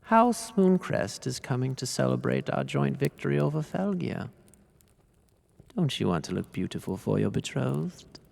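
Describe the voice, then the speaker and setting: Smug, gravelly-voiced cruel lord. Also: male voice, mid-range voice, sing-song, moderate pace, darkish tone, long reverb tail. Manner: haughty, formal, menacing. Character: cruel, smug young nobleman, lordly brother. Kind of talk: audio drama acting.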